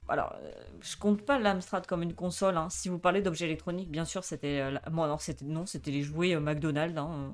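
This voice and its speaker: A woman's voice: deep voice, steady tone, a little fast, soft background music. A woman in her twenties chatting casually on a live stream, with many fillers, reading chat aloud and laughing often. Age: 20s.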